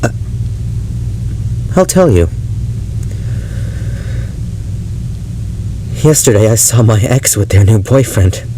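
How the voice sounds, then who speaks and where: Male actor as obsessive, unhinged man for audio drama: slow, brooding, halting, mid-pitched, faint rumble behind. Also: warm tone, low-quality recording. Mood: uneasy, confessing. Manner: halting, brooding, breaking into unhinged laughter and intensity. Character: unhinged obsessive yandere ex-lover confessing to his therapist. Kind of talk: dramatic audio roleplay monologue.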